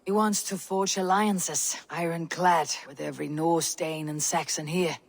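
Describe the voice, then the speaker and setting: An actress playing a calm, steadfast Viking warrior in a video game, speaking in terse, formal, resolute lines. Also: female voice, low-pitched, a little slow, gravelly, no echo, heavily acted. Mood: steady, resolute. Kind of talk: dramatic video game cutscene acting.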